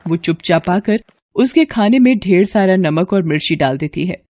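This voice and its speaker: A woman's voice: fairly low pitch, animated, normal speed, darkish tone, slight background noise. A woman narrator reading a scripted family story for a cartoon.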